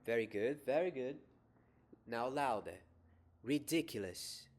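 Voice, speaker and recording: A young man playing a gentle wizard professor in an ASMR roleplay, soft-spoken and often whispering, measured and encouraging. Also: mid-range voice, animated. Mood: calm, encouraging. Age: young adult. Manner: measured, encouraging teacher, repeating words softly. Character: gentle wizard professor teaching a magic class.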